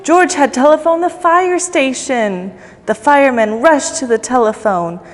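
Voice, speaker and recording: A woman's voice: sits high, measured pace. Woman reading a storybook in echoey reverb. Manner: expressive, with playful asides to young listeners. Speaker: volunteer storybook reader. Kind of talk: children's storytime read-aloud. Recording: long echoey reverb, faint music behind.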